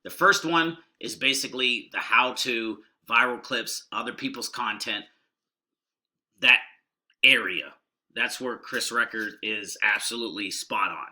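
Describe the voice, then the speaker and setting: A man's voice: mid-range voice, sharp tone. A middle-aged man casually walking through online marketing trainings, keeping his voice down and rambling in a folksy way. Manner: rambling, folksy and deliberately subdued. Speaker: online marketing video host. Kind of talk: casual screen-share walkthrough.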